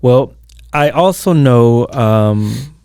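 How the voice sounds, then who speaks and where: Mellow-voiced male podcast host. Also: mid-range voice, expressive, clean tone, no echo, studio quality. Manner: encouraging, quick to affirm the guest. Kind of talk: casual interview hosting.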